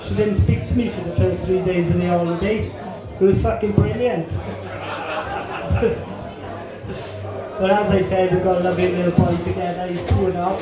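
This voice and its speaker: A young man doing a stand-up set on a comedy club stage, with crude, deadpan punchlines and lots of swearing. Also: high-pitched, very echoey, low-quality recording.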